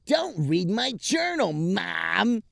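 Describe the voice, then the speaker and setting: A man voicing a whiny, dramatic teenage hedgehog boy in a comic story, loud and protesting. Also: high voice, big pitch swings, drawn-out words, gravelly, noisy background, voice pushed up, full character voice. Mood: indignant screaming.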